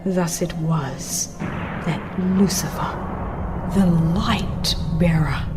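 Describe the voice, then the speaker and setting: A woman giving a dramatized, scripted narration of a religious book in a solemn, deliberate, theatrical manner, her phrases often ending hushed. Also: medium pitch. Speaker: audiobook narrator. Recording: music behind the voice. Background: background music.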